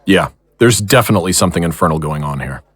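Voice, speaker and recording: A man playing a serious, reassuring investigator of the occult in an audio drama, measured and authoritative. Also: low voice, animated, a bit bright, dry recording. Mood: serious, intrigued.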